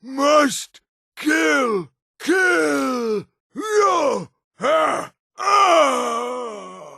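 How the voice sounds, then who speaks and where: An actor voicing a frenzied high elf fighter in a fantasy video game, giving strained, forceful cries. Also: male voice, very animated, extremely slow, vocal fry, dry recording, studio quality, full character voice. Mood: frenzied, straining. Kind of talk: combat cries and exclamations.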